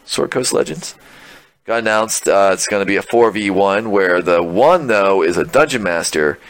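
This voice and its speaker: A man's voice: fairly low pitch, animated, normal speed, dry recording, low-quality recording. A man hosting a casual podcast, rambling about games with frequent laughs and self-mocking asides. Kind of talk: casual chat.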